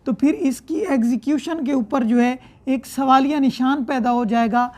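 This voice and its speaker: Female law lecturer, Pakistani accent, high-pitched voice, didactic explanatory delivery with repeated points. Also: normal speed, a little mellow. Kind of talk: explanatory lecture mixing read-out statute with commentary.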